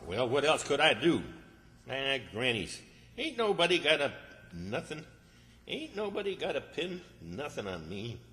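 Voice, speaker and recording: A man's voice: medium pitch, sing-song, unhurried, sharp tone. A man playing a flustered, bossy rural Southern character in old-time radio comedy, folksy and exasperated. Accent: American South, rural. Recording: echoey hall, long reverb.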